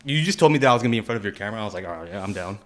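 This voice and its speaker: A man's voice: lowish voice, expressive, very fast, a bit bright. A man on a casual garage podcast, slangy and agreeable, often repeating himself. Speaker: podcast co-host or panelist.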